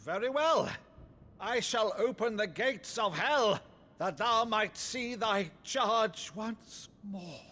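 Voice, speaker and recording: An actor playing an older nobleman, a blustering but warm-hearted uncle, in a theatrical, grandiloquent video game performance. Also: high voice, lively intonation, slow pace, full character voice. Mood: theatrical menace. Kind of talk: dramatic video game acting.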